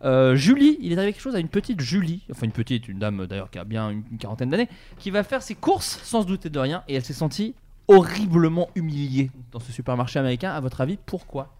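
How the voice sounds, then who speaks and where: A man hosting a podcast, telling stories in a casual, comedic and animated way and acting out bits of dialogue. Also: on the high side, fast talker. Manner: animated, informal, acts out dialogue. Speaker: podcast host.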